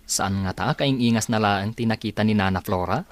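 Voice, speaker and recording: An actor with a Filipino accent playing a self-assured, casual young engineer in a radio drama. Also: male voice, lowish voice, fairly quick, no echo, natural voice. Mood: teasing, curious.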